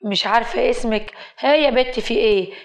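A woman's voice: on the high side, fairly quick, close to an everyday voice. A woman storyteller acts a young Egyptian male street thug in a drama. He is leering and pushy, taunting and coaxing like a bully.

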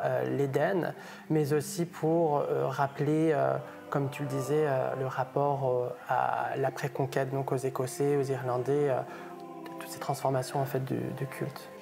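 A man's voice: medium pitch, vocal fry. A young man, an art historian, describing stained glass in an enthusiastic, conversational way with fillers, in a small chapel. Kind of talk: conversational expert commentary. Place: small wood-paneled chapel, indoors.

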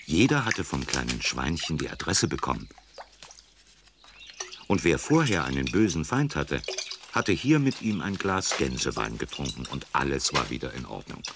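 A man narrating a scripted children's story in a gravelly voice. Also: extremely low pitch, big pitch swings, moderate pace, background noise. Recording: music behind the voice. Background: loud music.

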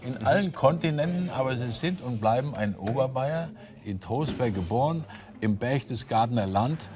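Male host, playful and questioning, mid-pitched, studio round table. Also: expressive, normal speed, a crowd in the background. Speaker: talk show host. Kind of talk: talk show hosting. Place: tavern-style TV studio set.

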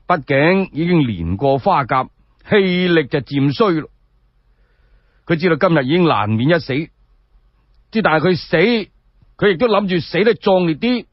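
A male Cantonese storyteller narrates in a high, very lively voice with a husky edge. He is colloquial and expressive, breaking off for chatty asides. The radio recording is dry and a little dull. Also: moderate pace, pauses often, no echo.

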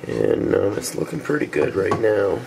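A man with a cold, hoarse and stuffy, walking through a recipe step by step in a relaxed way with frequent ums. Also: fairly low pitch, normal speed, background noise. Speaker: cooking show host. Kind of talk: casual cooking demonstration. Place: small home kitchen.

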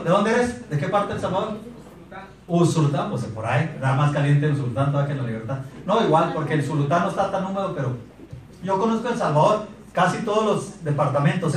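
Man, Mexican accent, thirties, motivational speaker, handheld mic, high-pitched, distant echoey room. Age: 30s. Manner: animated, asks the audience questions. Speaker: sales speaker. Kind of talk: motivational talk. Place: meeting room in front of a projector screen. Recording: echoey room recorded from afar.

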